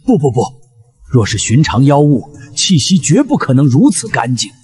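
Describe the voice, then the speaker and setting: Husky old scheming sorcerer advisor played by a man. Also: medium pitch, big pitch swings, moderate pace, darkish tone. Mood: sly, calculating.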